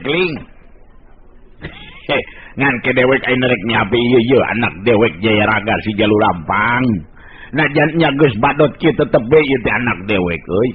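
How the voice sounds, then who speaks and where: A man's voice: medium pitch, natural voice. Man playing a sly, lecherous old sorcerer, tinny radio. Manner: drawn-out gloating words, chuckles, coarse address. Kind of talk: Sundanese radio storytelling drama. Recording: narrow, hissy old radio recording.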